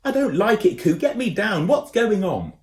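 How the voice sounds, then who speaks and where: A man's voice: high-pitched, sing-song, unhurried, creaky, a little mellow, pitched above the performer's own voice, heavily acted. A man voicing a timid, flustered young boy in a storybook reading, stammering and panicky. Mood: panicked. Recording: roomy echo.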